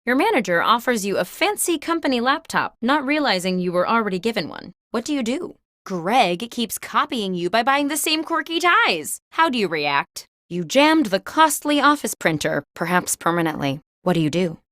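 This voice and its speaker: A woman playing a video game host who poses workplace questions like an office interviewer, in scripted, clearly enunciated prompts. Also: a little high, sing-song, moderate pace, few pauses, a bit bright, dry recording, studio quality. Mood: composed, quizzical.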